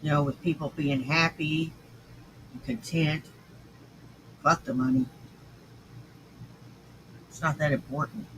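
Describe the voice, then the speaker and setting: A man's voice: fairly high pitch, fairly even intonation, slow pace, long pauses, fairly bright tone, a little hiss or hum, rough recording. A man vlogging in a casual, ranting monologue, blunt, profane and scornful.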